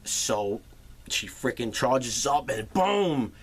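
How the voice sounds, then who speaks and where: Crude, animated male movie reviewer. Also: mid-range voice, slow pace, a little hiss or hum. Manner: crude, animated plot retelling with swearing. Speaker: film reviewer, show host. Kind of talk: casual comedic movie review.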